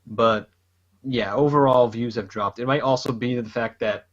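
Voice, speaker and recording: A man's voice: mid-range voice, normal speed, highs cut off. A man casually chatting as a podcast host, rambling loosely and laughing often. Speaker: podcast host and gaming YouTuber. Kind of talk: casual chat.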